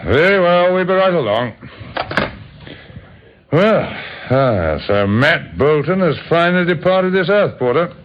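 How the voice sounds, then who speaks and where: A dry, businesslike police inspector in an old radio drama. The voice is fairly high and lively in pitch, on a muffled recording. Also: male voice, unhurried, slight background noise. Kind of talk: 1950s radio drama acting.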